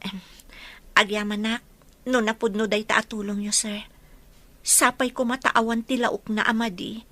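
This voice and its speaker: A woman's voice: on the high side, flat delivery, moderate pace, bright, forceful tone. A woman voicing a timid, anxious young pregnant housemaid with an Ilocano accent, hesitant and deferential in a radio drama. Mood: wary, hesitant. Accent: Filipino, Ilocano.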